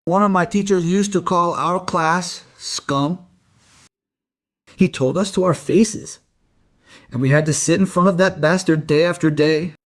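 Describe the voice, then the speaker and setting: Casting: resentful jobless young man under questioning, fairly high voice, evasive and surly, crime radio play. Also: measured pace. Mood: bitter, resentful. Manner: sullen, evasive. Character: defiant, resentful young unemployed working-class male suspect.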